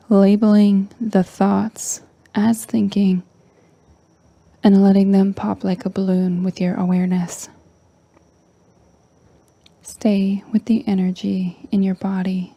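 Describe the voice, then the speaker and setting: A woman leading a guided meditation in a soft, breathy, near-whispering voice, calm and gentle with long pauses. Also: mid-range voice, no echo. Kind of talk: slow guided meditation narration.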